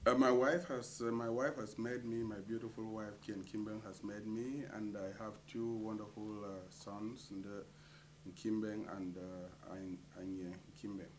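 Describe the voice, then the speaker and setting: A middle-aged man from Cameroon, a community association treasurer, answering interview questions with many hesitant pauses. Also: lowish voice, level tone, a little hiss or hum, poor audio.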